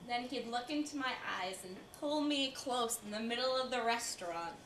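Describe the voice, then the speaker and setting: Young woman doing a flustered old lady confiding on stage, bright voice, roomy sound from far off. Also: a little high, normal speed, natural voice. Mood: dreamy, confiding. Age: young adult. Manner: flustered, confiding, dramatic stops and starts. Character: reluctant, embarrassed old American lady reminiscing about her girlhood. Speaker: student stage performer. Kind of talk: theatrical stage monologue. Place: indoor college performance before audience. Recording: echoey room, distant mic, faint hiss.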